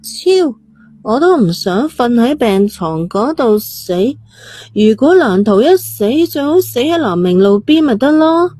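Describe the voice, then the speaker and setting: Actress as stubborn, flippant young woman for audio drama; high voice, Hong Kong Cantonese accent, dry close sound. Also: big pitch swings, measured pace, dark tone, no room sound. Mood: defiant. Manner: cheeky, nonchalant, stubborn. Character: defiant, flippant young woman with a brain tumour.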